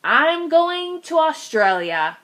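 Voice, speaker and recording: A woman's voice: high voice, sing-song, slow, a bit bright, full character voice. A woman voicing a grumpy, put-upon little boy in a children's storybook, sulky, indignant and emphatic. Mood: defiant. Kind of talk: children's storybook read-aloud.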